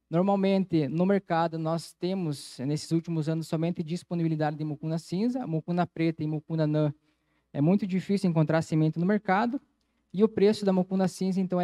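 A man's voice: on the high side, warm tone, dry recording. A man giving an agronomy conference lecture in a methodical, explanatory way into a handheld microphone. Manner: explanatory, methodical, frequent "então" connectives. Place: auditorium stage, indoors.

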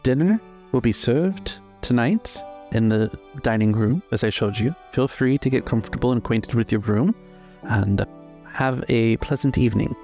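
A man voicing a polite, guarded castle servant in a tabletop game, with a formal, measured manner. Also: mid-range voice, dark tone, soft background music, close to an everyday voice.

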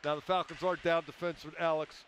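A man's voice: fairly high pitch, little pitch movement, fast talker, a little hiss or hum. A man giving color commentary at a live hockey game, breaking down plays in a loose, analytical way with frequent fillers.

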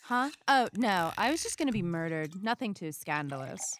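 A woman's voice: medium pitch, sing-song, moderate pace, a bit bright, slight background noise. A woman playing a wry, exasperated but caring friend of a detective in a comedy audio drama. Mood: wry, gentle.

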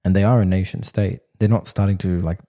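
A man co-hosting a podcast, arguing his points in casual, animated debate and often saying "bro". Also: low voice, fairly quick. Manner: animated, argumentative, says "bro" a lot. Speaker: podcast co-host.

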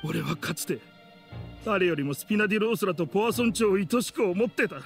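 A bitter, vengeful middle-aged man from an anime game, spits rough, contemptuous accusations. His fairly high voice swings widely in pitch, with music behind it. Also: lively intonation, brisk. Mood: grieving rage. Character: bitter, vengeful man in a grudge-driven confrontation.

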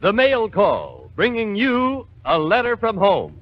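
A man's voice: high-pitched, huge pitch swings, slow pace. An American man announcing a 1940s radio show, heard on a muffled old broadcast recording. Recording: muffled 1940s radio transcription, music underneath.

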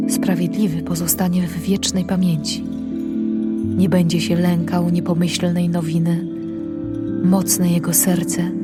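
An actress playing a solemn psalmist, reciting sacred verse in a reverent, measured manner for an audio drama. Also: female voice, on the low side. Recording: loud music behind.

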